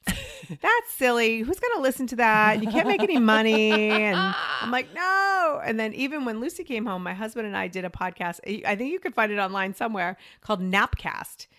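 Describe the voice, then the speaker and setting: A woman in her forties, a podcast guest, telling her career story in a chatty, animated way and acting out what others said to her. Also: a little high, a little fast, no room sound, studio quality. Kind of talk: conversational interview storytelling.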